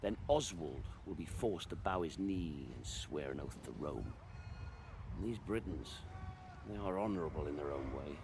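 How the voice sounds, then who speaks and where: A middle-aged man playing a stern Roman army commander who speaks in a measured, authoritative way. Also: extremely low pitch, animated. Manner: measured, authoritative, sharp rebukes. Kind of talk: video game cutscene acting. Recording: noisy background.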